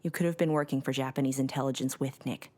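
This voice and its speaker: A woman's voice: very deep voice, fairly even intonation, fast, a little mellow. An actress playing a composed, caring Chinese woman intelligence officer in an audio drama. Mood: confessional.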